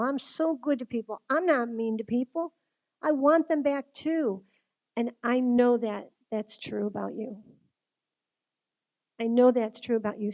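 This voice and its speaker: Woman, fairly high voice, informal faith speaker; earnest, conversational delivery that puts questions straight to the listener. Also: a little mellow. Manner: earnest, conversational, direct address with rhetorical questions. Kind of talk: informal religious talk to a group.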